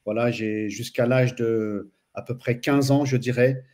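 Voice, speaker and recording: A man in his forties from France, a teacher telling his story as a guest in a relaxed interview, pausing often with fillers. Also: medium pitch. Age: 40s. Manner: hesitant, frequent pauses and fillers. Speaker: guest, Islamic studies teacher. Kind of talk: casual interview chat.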